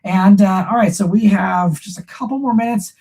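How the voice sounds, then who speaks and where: A man with a cold chats casually on a live stream, and his voice comes out scratchy and husky. His tone is mellow and fairly high for a man. He rambles and thinks aloud as he answers questions. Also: moderate pace. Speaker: host of a live car Q&A stream. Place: room at home with shelves behind him.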